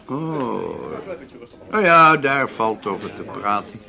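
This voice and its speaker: An actor playing a reserved German engineer in a radio drama, formal and measured, with a gravelly voice. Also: male voice, on the low side, big pitch swings, pushed and bright, faint music behind, heavily acted.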